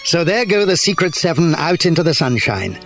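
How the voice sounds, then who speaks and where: A man's voice: on the high side, sing-song, a little fast, a bit bright. A man narrating a children's story from a script in a dramatised audiobook. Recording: music behind the voice. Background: instrumental music.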